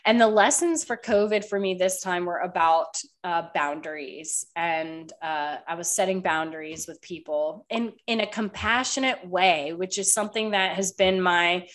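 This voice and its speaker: A woman's voice: lowish voice, normal speed, few pauses. A woman hosting a podcast in casual chat, a bit congested and nasal with a slight cough, who talks loosely and swears often. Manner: chatty, swears casually, lots of "like". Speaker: podcast host.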